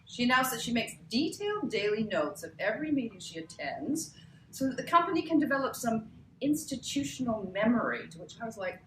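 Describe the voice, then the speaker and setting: Woman acting a proud, sardonic, animated older TV boss. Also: a little high. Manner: sardonic, emphatic. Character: proud, prickly older female TV boss keeping a journal. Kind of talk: live table read of a novel. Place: cramped bookstore event, live. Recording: roomy live bookshop recording, background noise.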